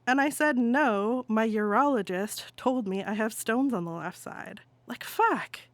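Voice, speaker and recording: A woman performing an exasperated adult venting to a cousin in a casual, halting phone-call monologue. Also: fairly high pitch, no room sound, voice pushed up, close to an everyday voice. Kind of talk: dramatic monologue, conversational stage reading.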